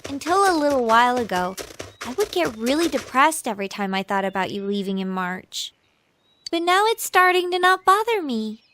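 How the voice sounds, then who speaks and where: A woman's voice: high-pitched, sing-song, moderate pace, fairly bright tone. An American woman voicing a bashful, earnest teenage girl in love in an anime game dub, perky and easily flustered. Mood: tender.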